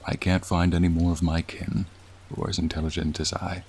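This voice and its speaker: A man playing a gentle, wistful, courtly dragon in a soothing ASMR roleplay, speaking formally and tenderly. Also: flat delivery. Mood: lonely, tender. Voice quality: low, creaky, soothing. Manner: slow, formal, tender, reflective storytelling. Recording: faint rain noise behind. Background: rain ambience.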